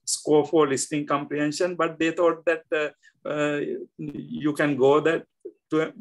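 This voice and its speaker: A man's voice: on the high side, level tone. Older Sri Lankan man on a video call. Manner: halting, many fillers and restarts. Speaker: guest scholar. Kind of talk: reflective interview talk. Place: indoor home room.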